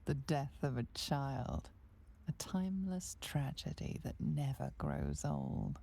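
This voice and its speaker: An actress playing a solemn, watchful narrator in a fantasy video game, measured and ominous. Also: female voice, a bass voice, wildly swooping pitch, vocal fry, dark tone, exaggerated voice. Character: solemn, watchful inner narrator woman with dark relish.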